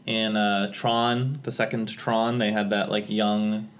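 A man's voice: on the low side, little pitch movement, takes it slowly, a little hiss or hum. A man in a casual film discussion, speaking as a podcast panelist.

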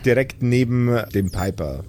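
A man narrating an improvised tabletop audio drama as game master, with deliberate, dramatic phrasing. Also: medium pitch, expressive, a little mellow.

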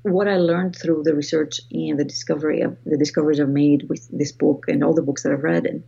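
Low husky Greek-accented woman. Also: steady tone, a little fast, dark tone, dull audio. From Greece. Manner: reflective, restarts phrases often. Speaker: author guest. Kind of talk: podcast interview.

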